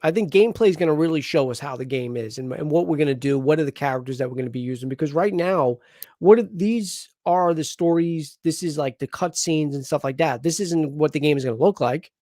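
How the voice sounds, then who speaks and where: A man's voice: a little high, brisk, dry recording, studio quality. A man hosting a casual live reaction chat, talking in a rambling way with lots of restarts. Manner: rambling, restarts often, animated. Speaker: host.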